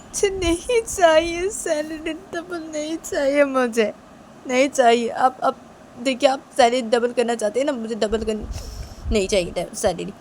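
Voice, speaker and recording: A woman's voice: high-pitched, steady tone, measured pace, darkish tone, a little hiss or hum. A woman voicing a young female employee who begs earnestly and tearfully, repeating please. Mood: pleading.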